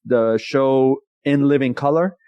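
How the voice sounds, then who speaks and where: A man in his thirties from Iran, a comedian telling his story in a casual interview with jokey, animated energy. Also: mid-range voice, a little slow, clean tone, dry recording, dull audio. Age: 30s. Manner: jokey and animated, often laughing mid-sentence. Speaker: guest, actor and comedian.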